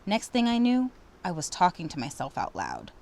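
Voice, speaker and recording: Female narrator for children's picture book, fairly low voice, dramatic delivery with lively swings in pitch. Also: normal speed, vocal fry. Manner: animated, dramatic. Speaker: storybook reader. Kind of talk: expressive children's read-aloud.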